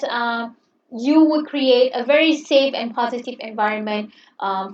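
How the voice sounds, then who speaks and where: Woman teacher, non-native English, fairly high voice, encouraging and chatty, recorded in a roomy space with muffled sound. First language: not English. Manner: encouraging, chatty, repeats "okay". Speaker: English lecturer. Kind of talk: online class introduction. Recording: roomy, distant, dull sound.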